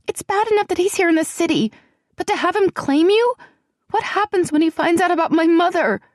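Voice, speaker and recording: A woman voicing a frightened young woman who speaks high and breathlessly to her friend. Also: fairly quick, no echo, clean studio sound. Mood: anxious.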